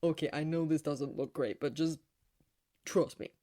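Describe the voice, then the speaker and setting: A woman's voice: deep voice, moderate pace, mellow, voice pushed down. A woman voicing a sheepish, boastful devil king and father with flustered, defensive bravado. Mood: reassuring.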